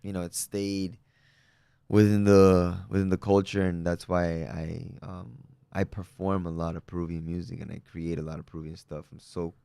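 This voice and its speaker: A man's voice: low voice, moderate pace, creaky, smooth, no echo. A young man from Peru, a musician guest, chatting casually and upbeat with plenty of fillers. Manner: relaxed, upbeat, lots of fillers. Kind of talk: casual interview chat.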